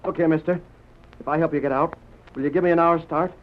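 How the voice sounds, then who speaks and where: An actor playing a torn but decent wanted cowboy in an old-time radio western, with earnest, rustic American speech. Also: male voice, on the high side, fairly even intonation, fast talker, slight background noise, close to an everyday voice. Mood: resolute. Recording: dull, muffled old radio recording.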